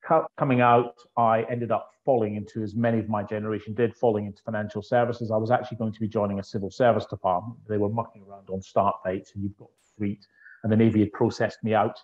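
A Scottish man in his fifties talks as a guest on a video call, with a lowish voice. He tells his story in a chatty, anecdotal way. The sound is muffled and lo-fi. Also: level tone, fast, darkish tone. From northeast Scotland. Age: 50s. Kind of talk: conversational interview. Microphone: earphone mic on a video call. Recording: dull lo-fi video call audio.

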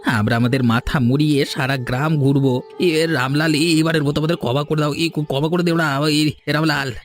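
A man's voice: fairly high pitch, fairly even intonation, moderate pace, soft background music, close to an everyday voice. A man voicing a cowed village schemer in a cartoon fairy tale, pleading in a servile, stammering way. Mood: contrite.

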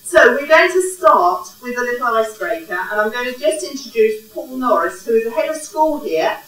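A woman's voice: fairly high pitch, lively intonation, moderate pace. Woman speaking in an echoing hall. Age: middle-aged. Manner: warm, orderly, checks in with the audience. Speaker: college quality coordinator opening a conference. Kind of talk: welcome speech at a lectern. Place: large indoor hall. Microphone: podium microphone. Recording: echoey hall from a distance.

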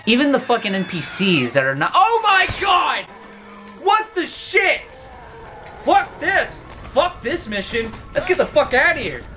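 Twenties gamer in his bedroom, bright and wildly swinging, cussing and yelling at the screen, hoarse. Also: very high-pitched, wildly swooping pitch, unhurried, a little hiss or hum. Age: 20s. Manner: loud, profane, yelling at the game. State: losing his voice. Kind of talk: let's play commentary. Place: bedroom, indoors.

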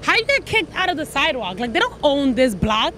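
A woman's voice: high-pitched, sing-song, fairly quick, fairly bright tone, a little hiss or hum. A young woman hosting street interviews, outdoors with a handheld mic, blunt and joking, swearing freely. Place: outdoors on city sidewalks.

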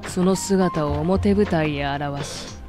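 Solemn storyteller lady narrating a dark fairy tale game, music loud behind. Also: deep voice, a little slow. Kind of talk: scripted dramatic game narration.

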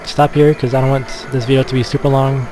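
A man giving casual gameplay commentary as a Let's Play gamer, in a hesitant, low-key manner. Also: little pitch movement, quick pace, warm tone. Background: game music.

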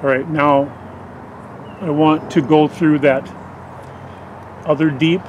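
A man's voice: a little high, steady tone, takes it slowly, lo-fi sound. A man with a somewhat raised voice talks through beehive work in a plain, matter-of-fact way. He is outdoors in a backyard and picked up by a camera a few steps off, with a light hum of outdoor noise behind him. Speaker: beekeeper directing a helper. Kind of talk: casual instructions while working. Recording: faint outdoor background noise.

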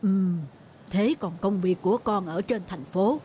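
A woman voicing a calm, wise middle-aged fisherman father in a cartoon folk tale, speaking patiently and instructively. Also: mid-range voice, unhurried, a little hiss or hum, natural voice. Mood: gentle concern.

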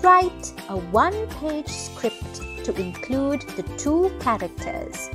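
A young woman reading for children in an expressive, measured way, as a storyteller. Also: high voice. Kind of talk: scripted children's read-aloud and questions. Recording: music behind. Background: children's music.

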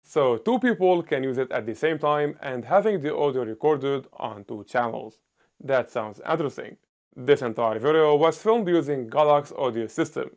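A man in his thirties giving a scripted gear review on a small indoor set, talking loudly and emphatically into a lapel mic. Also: mid-range voice, expressive, normal speed. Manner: loud, emphatic delivery.

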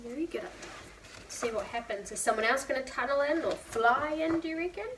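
High-voiced young woman reading to children. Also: brisk. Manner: expressive, asks listeners questions. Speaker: preschool storytime reader. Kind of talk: reading a picture book aloud to young children. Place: indoor room, seated beside a whiteboard. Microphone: camera a few feet away. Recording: distant camera mic, faint room noise.

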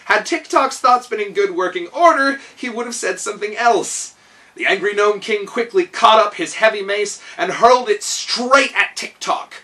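A man's voice: sits high, moderate pace, pushed and bright, distant mic. A man in his thirties reading a children's story aloud in a dramatic, emphatic manner. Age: 30s. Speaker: storyteller and host. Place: small room indoors at home.

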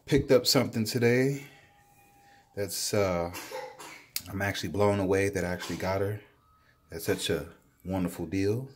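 A man filming a casual home vlog, excitedly showing a new pet and saying he is sweating while he handles it. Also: low-pitched, slight background noise. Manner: excited, admiring, unhurried. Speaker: reptile keeper vlogger. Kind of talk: casual handheld show-and-tell vlog. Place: indoors at home, by a table. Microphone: handheld phone camera, off-screen speaker.

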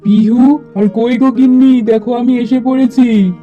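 A man's voice: very high-pitched, fairly even intonation, a little slow, narrow, tinny audio, faint music behind. A man playing a wronged, earnest father in a cartoon, speaking emphatically. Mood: relieved, earnest. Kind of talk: cartoon character dialogue.

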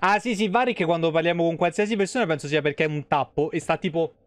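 A man's voice: on the high side, fast, dry recording. A man, chatting casually and colloquially with viewers as he plays. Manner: colloquial, swearing. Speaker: game streamer. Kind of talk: casual live game commentary, chatting with viewers. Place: small room at home.

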